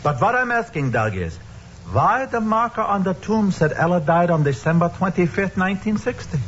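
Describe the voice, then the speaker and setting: An actor playing a curious, talkative delicatessen owner in an old-time radio mystery, animated and inquisitive. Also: male voice, sits high, moderate pace. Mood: puzzled, curious. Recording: dull old radio recording, faint noise.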